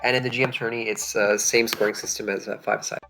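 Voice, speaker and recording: Hesitant man with a gravelly voice. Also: on the low side, flat delivery, fast talker, noisy background. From French and Hungarian by birth. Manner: hesitant, searching for words, many fillers. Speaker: streamer playing a strategy game. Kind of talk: casual gaming chat.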